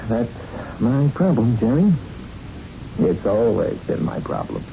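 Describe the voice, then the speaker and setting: A mellow-voiced young prizefighter, cocky and charming, in a vintage radio drama. His slangy patter swings up and down in pitch. The old broadcast is muffled, with faint noise. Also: very animated, slow pace. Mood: smooth, charming. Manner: breezy, slangy, smooth-talking. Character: cocky, charming young middleweight boxer and ladies' man. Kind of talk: 1970s radio drama acting.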